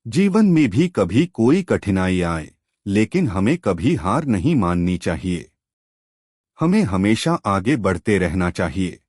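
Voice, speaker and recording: Man as tender young wife, earnest, wistful, unhurried, lively intonation, storybook dialogue. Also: fairly low pitch, dry recording, studio quality, heavily acted. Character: tender young wife who loves snowy forests.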